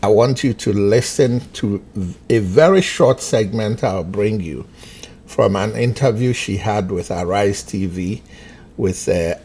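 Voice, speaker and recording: A man from Nigeria hosting an opinion show, speaking in slow, pointed, emphatic commentary. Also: fairly low pitch, a little mellow, a little hiss or hum. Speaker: host.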